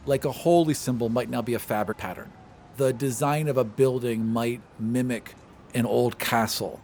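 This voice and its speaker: A man's voice: mid-range voice, steady tone, moderate pace, a little mellow, a little hiss or hum. A man working as game master, narrating a tabletop game in a casual, improvised way.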